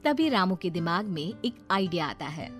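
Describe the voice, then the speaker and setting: A woman's voice: lowish voice, big pitch swings, normal speed, soft background music. A woman reading a scripted moral bedtime story as the narrator.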